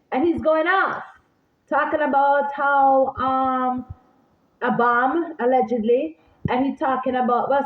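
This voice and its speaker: A woman with a Jamaican accent, rambling in a casual vlog, animated and repeating herself as she talks straight to her viewers. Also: a little high. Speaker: vlogger. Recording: echoey room, phone-like sound.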